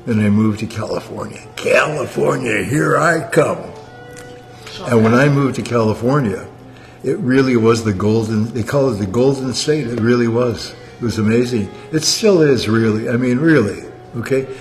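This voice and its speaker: A man's voice: mid-range voice, moderate pace, vocal fry, long reverb tail, soft background music. Rambling man in his eighties. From Canada. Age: 80s. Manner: rambling, tags sentences with "okay?". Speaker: guest of honor on a family livestream. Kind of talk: casual chat.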